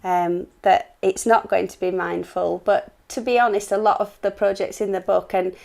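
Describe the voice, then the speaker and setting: A woman in her forties chats casually on a live stream about her craft book. Her voice sits at a middle pitch and carries a light creak. She talks in long running sentences and keeps checking in with tag questions. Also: steady tone, normal speed, darkish tone. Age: 40s. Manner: chatty, often ends with tag questions. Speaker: co-author and workshop host.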